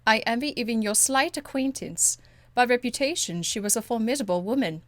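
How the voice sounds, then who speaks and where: A woman's voice: a little high, brisk, a bit bright, no echo, close to an everyday voice. Woman playing a haughty, silky court enchantress. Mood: poised condescension. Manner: haughty, polished, drawling endearments. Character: proud, elegant, condescending court enchantress woman. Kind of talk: video game dialogue acting.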